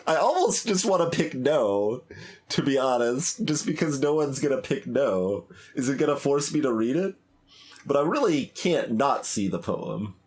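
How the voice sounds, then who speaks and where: A man's voice: mid-range voice, big pitch swings, unhurried, breathy edge. A man giving casual video game commentary as a streamer, hesitant and thinking aloud as he plays. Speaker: gaming streamer.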